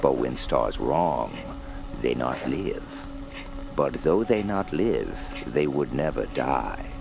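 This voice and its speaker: An aged, menacing cult prisoner for a horror radio play. The voice is rock-bottom deep and gravelly, delivering broken English in slow, incantatory fragments. Music runs clearly behind it. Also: male voice, lively intonation, exaggerated voice. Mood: ominous, reverent. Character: old, fanatical foreign male cult prisoner, menacing mystic. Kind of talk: radio horror drama acting.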